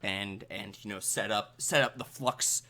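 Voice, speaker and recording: A game master narrating an improvised tabletop role-playing game in a conversational, halting way, repeating phrases while thinking. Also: deep voice, sharp tone.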